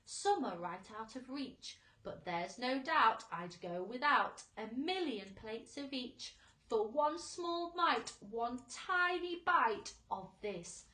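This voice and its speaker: A boastful, exuberant centipede from a storybook, acted out by a woman, relishes each rhythmic phrase. The voice is high and animated, heard in an echoey room. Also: slow, full character voice. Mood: boastful, relishing. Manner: declamatory, rhythmic verse delivery. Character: boastful, exuberant, greedy centipede reciting a food song.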